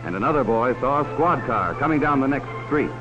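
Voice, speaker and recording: A man's voice: mid-range voice, animated, a little fast, music in the background, natural voice. A man playing a cautionary narrator of a 1950s educational film, talking directly to children in a folksy way. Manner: folksy, direct address to children with leading questions. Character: cautionary male adult narrator warning children about strangers. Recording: dull, hissy 1950s film soundtrack.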